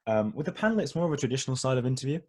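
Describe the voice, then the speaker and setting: A man's voice: mid-range voice, lively intonation, rapid-fire, a little mellow. A young man, a dental student from Egypt, giving a casual online webinar with many fillers over a computer mic. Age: young adult. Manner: chatty and informal, many fillers like "like" and "you know". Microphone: computer mic on a video call.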